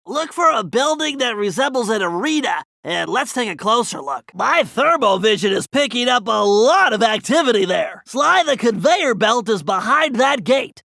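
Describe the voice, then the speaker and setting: A brainy young male cartoon turtle for a video game. The voice is very high and pinched, with a bright, tense edge and a creaky grain. He explains plans in a fussy, exact, earnest way, leaning hard on key words. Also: very animated, normal speed, few pauses, no echo, heavily acted. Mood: alert, instructing. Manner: nerdy, precise, earnest, emphatic. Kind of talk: animated video game mission dialogue.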